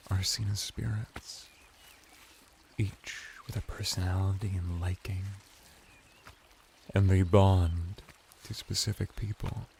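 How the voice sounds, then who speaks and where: A man's voice: low-pitched, creaky, warm tone, a little hiss or hum, exaggerated voice. A man voicing a flirty, courteous merman bath attendant in an intimate, formally worded ASMR roleplay. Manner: slow, intimate, formal wording with lingering pauses.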